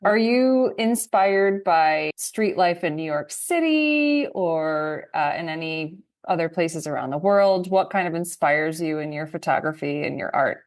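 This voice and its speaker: A woman's voice: deep voice, expressive, a little slow, few pauses. A woman hosting a podcast interview who asks friendly, encouraging questions. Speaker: podcast host.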